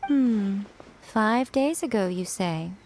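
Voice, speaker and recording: A woman voicing a sly, teasing shrine priestess in a video game, amused and unhurried. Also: mid-range voice, lively intonation, a little mellow, slight background noise.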